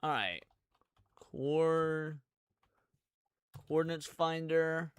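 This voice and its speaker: A man's voice: on the high side, extremely slow, clear, resonant tone, no room sound. A man giving casual live reaction commentary to viewers, thinking aloud in an informal way. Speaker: streamer reacting to a video series.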